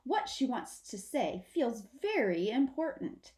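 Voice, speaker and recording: A woman narrating a children's story aloud in an expressive read-aloud style. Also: a little high, unhurried. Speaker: storybook reader. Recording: roomy, echoing sound.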